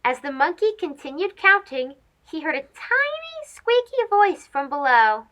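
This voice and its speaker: Woman, children's story host, high lively voice, slow pace, expressive narration. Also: lo-fi sound. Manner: animated, addressing young children. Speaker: host of a children's read-aloud show.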